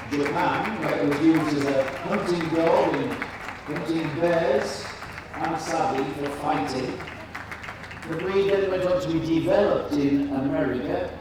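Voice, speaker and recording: A male event announcer speaks slowly and formally, pitched a little high. His measured commentary rings around a big indoor arena over a loud crowd. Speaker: dog show announcer and commentator. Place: large indoor show arena. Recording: echoey PA in noisy crowded hall, distant. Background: crowd noise and hall ambience.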